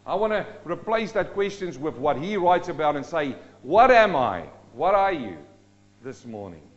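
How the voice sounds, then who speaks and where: Dynamic preacher from South Africa. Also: male voice, fairly high pitch, sing-song, moderate pace, dull audio, soft background music. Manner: dynamic, rising and dropping sharply for emphasis. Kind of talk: sermon.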